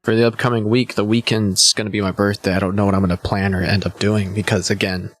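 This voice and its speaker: Laid-back young man streamer, low voice. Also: level tone, fast talker, fairly bright tone. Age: 20s. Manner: rambling, laid-back, repeats "man" and "you know". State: a little hungover. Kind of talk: casual chat to a live stream.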